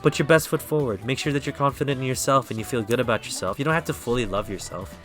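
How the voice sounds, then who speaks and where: A man with an American accent giving a relaxed, rambling tarot reading on a live stream, often stopping to correct himself. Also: medium pitch, quick pace, faint music behind. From Philippines.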